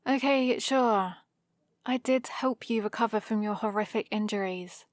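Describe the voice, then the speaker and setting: A British woman playing a guarded female supervillain who is awkwardly soft on her hero, in a hesitant, defensive ASMR roleplay style. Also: mid-range voice, measured pace, dry recording, studio quality, close to an everyday voice. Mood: grudging, exasperated. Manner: hesitant, defensive, softly exasperated.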